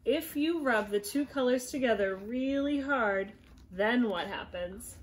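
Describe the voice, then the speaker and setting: A woman in her thirties reading a picture book aloud to young children at home, gently encouraging them and prompting with questions. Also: a little high, expressive, measured pace. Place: small living room at home, indoors.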